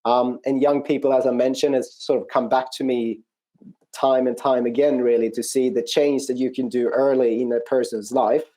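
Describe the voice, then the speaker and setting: A man with a Swedish accent, speaking conversationally as an interview guest, in a reflective, hesitant way with frequent pauses. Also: mid-range voice, fairly even intonation, mellow. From rural Sweden, outside Norrköping. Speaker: interview guest, non-profit country manager. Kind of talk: conversational personal account in an interview.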